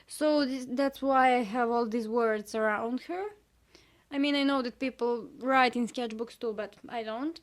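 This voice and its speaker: A young woman with a Serbian accent, Serbian her first language, casually showing her sketchbook and talking about each page with hesitant pauses and self-critical asides. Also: on the high side. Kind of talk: casual sketchbook tour chat.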